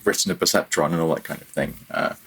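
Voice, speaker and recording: Low-voiced man, slightly husky, thinking aloud. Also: quick pace, fairly bright tone. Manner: thinking aloud, self-correcting, hesitant mid-phrase. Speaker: guest, audio programmer. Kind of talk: casual technical interview.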